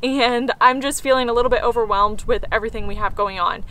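A woman's voice: on the high side, fairly even intonation, fast talker, bright, forceful tone, poor audio. A woman vlogging casually as she walks through her home garden and explains her plantings. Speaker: homesteading vlogger. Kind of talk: casual garden tour vlog. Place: outdoors in a backyard garden.

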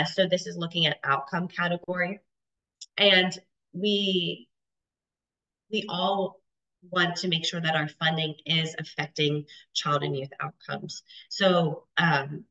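A woman in her thirties presenting charts on a video call, stuffy from a cold, with halting speech full of ums and restarts. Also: deep voice, pushed and bright. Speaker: webinar presenter. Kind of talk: explaining data charts in a webinar. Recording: dull lo-fi video call audio.

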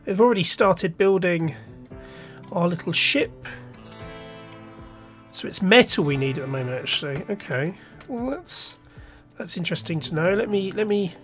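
A man's voice: high-pitched, expressive, normal speed, a bit bright, soft background music. A man with a cold and a slightly nasal voice, casually thinking aloud with restarts as he talks through his game. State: stuffy nose from a cold. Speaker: let's play host. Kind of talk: casual gameplay commentary.

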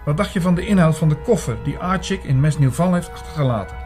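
An actor in a radio drama playing an earnest investigator who argues insistently and with literary phrasing for his dark convictions. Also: on the high side, lively intonation, fast talker, faint music behind, lightly acted. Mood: defensive, convinced. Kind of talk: radio drama narration and dialogue.

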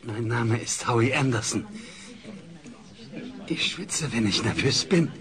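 A timid fat rookie comedian in an audio drama, anxious and medium in pitch, with a clear crowd behind him. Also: male voice, expressive, slow. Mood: nervous. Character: nervous fat sweaty novice male comedian.

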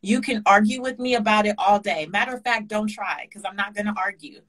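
A woman's voice: medium pitch, fairly quick, a bit bright. A woman guest on a video-call interview, speaking in a passionate, preachy way and stacking repeated phrases for emphasis. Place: home kitchen indoors. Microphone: webcam on a video call.

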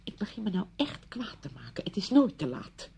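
Casting: indignant visitor, impatient and scolding, husky mid-pitched voice, swooping intonation, radio play. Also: female voice, big pitch swings, a little fast, mellow, exaggerated voice. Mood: huffy, annoyed. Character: exasperated, impatient woman visitor trapped by her friend. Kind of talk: Dutch radio play acting.

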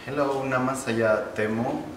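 A man's voice: mid-range voice, steady tone, unhurried. A man in his twenties from Mexico speaking fluently as an exchange student. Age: 20s. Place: office with flags, indoors. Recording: echoey room, distant mic, noisy.

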